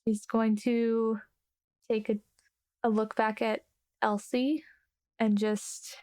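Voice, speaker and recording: A woman's voice: fairly high pitch, flat delivery, slow pace, darkish tone. A woman player casually narrating her character in a tabletop game, thoughtful and hesitant.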